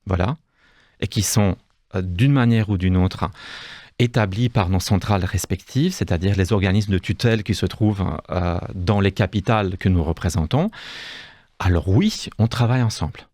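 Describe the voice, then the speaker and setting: Romanian-accented male interview guest, low-pitched, halting, dry studio sound. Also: a little mellow, dry recording. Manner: hesitant, many restarts and fillers. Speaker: guest, cultural institute deputy director. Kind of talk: radio interview. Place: radio studio.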